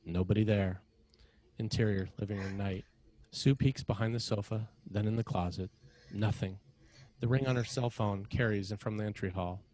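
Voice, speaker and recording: A man with a fairly low voice serves as narrator for a screenplay. He reads scene directions matter-of-factly, in measured, clipped phrases. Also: fairly even intonation, dark tone.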